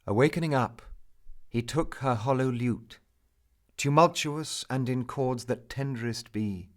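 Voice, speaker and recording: A man reciting a romantic narrative poem as its narrator, in a solemn, theatrical, measured manner. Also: medium pitch.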